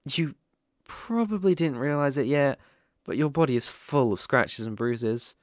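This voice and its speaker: Man acting a slow, gentle British werewolf. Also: medium pitch, no room sound, clean studio sound, close to an everyday voice. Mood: calm, careful. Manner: soft-hearted, reassuring, halting and pausing. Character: gentle, protective male lone werewolf living in a forest cabin. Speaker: ASMR roleplay performer. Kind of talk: ASMR roleplay acting.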